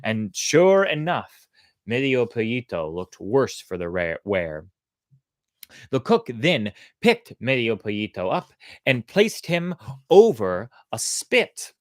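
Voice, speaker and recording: Slow, animated storyteller in a dry room. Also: mid-range voice, dry recording. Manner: theatrical, deliberate. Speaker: storyteller, host. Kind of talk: expressive storybook narration.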